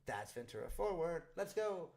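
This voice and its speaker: A man hosting a casual livestream, pausing meeting footage to comment on it in a chatty, joking way. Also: on the high side, big pitch swings, darkish tone. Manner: chatty, joking, fast asides. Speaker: livestream host.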